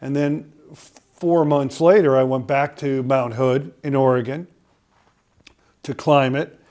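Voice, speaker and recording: A man's voice: mid-range voice, steady tone, takes it slowly, a little mellow. Older man telling a rambling story. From Connecticut, USA. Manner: rambling storyteller with frequent "uh" fillers and restarts. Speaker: guest. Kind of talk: storytelling in a podcast interview.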